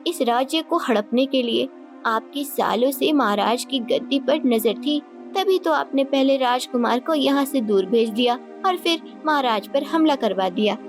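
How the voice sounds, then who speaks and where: A woman acts out a vengeful young queen from a cartoon moral tale. Her voice is high, cold and mocking, full of theatrical accusation. Clear music runs underneath. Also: measured pace. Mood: accusing. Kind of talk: dramatic Hindi moral-story cartoon dialogue. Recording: loud music bed behind voice.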